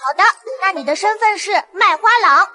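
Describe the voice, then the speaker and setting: A childlike system spirit from an animated drama, very high and bright. It is bossy and excitable, swooping through its lines and quick to panic. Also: soft background music, exaggerated voice. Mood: perky, instructive. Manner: excitable, exclamatory, urging. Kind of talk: animated web drama dubbing.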